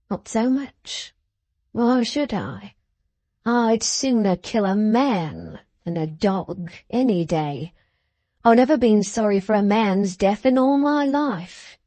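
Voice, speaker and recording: A woman voicing a savage, rough man, a mocking villain who drawls his threats in an audiobook. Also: medium pitch, warm tone, no room sound. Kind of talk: dramatised audiobook reading.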